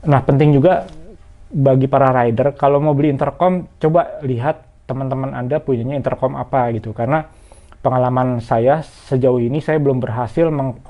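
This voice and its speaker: A man's voice: medium pitch, steady tone, normal speed, narrow, tinny audio. A man talks viewers through a casual product review in a conversational, explanatory way. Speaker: motorcycle gear reviewer.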